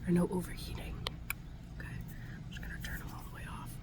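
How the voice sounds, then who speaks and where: A woman whispering breathily in a soft, soothing, halting way as she improvises an ASMR roleplay as a gentle scientist. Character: gentle scientist tending a robot. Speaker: ASMR performer.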